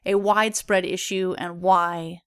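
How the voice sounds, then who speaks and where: A woman's voice: on the low side, little pitch movement, a little slow, smooth, dry recording, clean studio sound. A woman co-hosting a podcast, speaking earnestly and sincerely as she thanks listeners. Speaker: podcast co-host. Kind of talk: casual chat.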